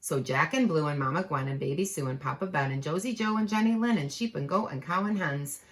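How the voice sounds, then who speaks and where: A woman reading a children's storybook aloud with expressive delivery, pausing to prompt young listeners. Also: sits low. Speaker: teacher. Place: room at home. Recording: echoey home room.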